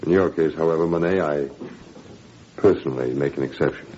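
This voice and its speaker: An actor in a 1940s radio drama playing a smug, menacing Nazi education official with a sardonic, mock-courteous manner. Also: male voice, a bass voice, brisk, dark tone. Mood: silkily menacing. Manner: silky, sardonic, mock-courteous, drawling hesitations. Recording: dull, noisy 1940s radio recording.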